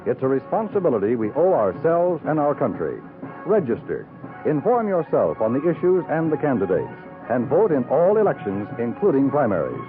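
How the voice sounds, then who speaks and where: A man's voice: mid-range voice, huge pitch swings, moderate pace, over music. A man announcing in a formal, emphatic, polished 1950s radio style, reading from a script. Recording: muffled 1950s radio broadcast recording.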